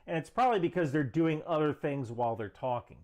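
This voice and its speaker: A man's voice: a little high, animated, quick pace, a little mellow. A man presenting a scripted explainer commentary with emphatic, structured delivery. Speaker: video presenter.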